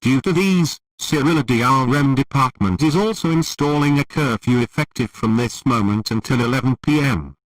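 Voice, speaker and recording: A man's voice: mid-range voice, normal speed, few pauses, dry recording, far from the mic, rough recording. A man reading a formal scripted emergency broadcast announcement in a stiff, impersonal, official manner.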